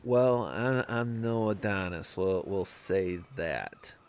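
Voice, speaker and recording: A man's voice: deep voice, expressive, slow, darkish tone, clear, resonant tone. A man streaming a strategy game, chatting casually with viewers, hesitant and self-deprecating, with many fillers. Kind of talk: casual live-stream commentary. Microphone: desk microphone, no camera.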